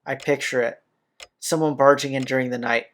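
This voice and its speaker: A man's voice: mid-range voice, steady tone, brisk, a bit bright. A man reading a visual novel's narration aloud as a let's player, with occasional casual asides. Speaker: video game let's player.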